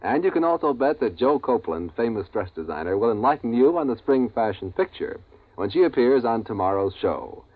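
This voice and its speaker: Old radio network guy reading a promo, polished and lively, thin tinny sound, no room echo. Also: medium pitch, a little fast. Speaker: network announcer. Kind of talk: 1950s radio promo announcing, scripted.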